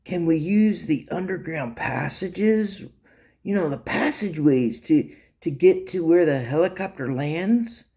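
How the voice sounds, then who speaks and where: Man playing an eager, high-pitched young male soldier. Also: animated, a little slow, vocal fry, mellow. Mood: questioning.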